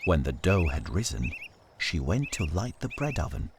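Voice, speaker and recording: A man narrating a scripted folktale as a storyteller, in a measured, solemn manner with deliberate phrasing. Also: low voice, big pitch swings, noisy background. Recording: studio narration over music. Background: music.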